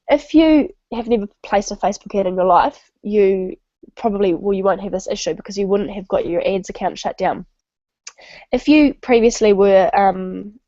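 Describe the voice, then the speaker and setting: A woman's voice: mid-range voice, mellow, no room sound. A woman with a stuffy, nasal voice from a cold, giving a casual online marketing training on a call with many ums and restarts. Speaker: webinar presenter. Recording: dull lo-fi web call audio.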